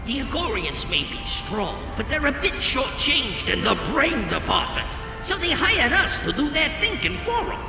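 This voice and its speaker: An actor voicing a brash, fast-talking hologram greeter at an alien fight arena in a video game, with jokey, needling showman patter. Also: very high voice, very animated, creaky, exaggerated voice. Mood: chummy. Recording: echoey arena reverb, distant, music behind.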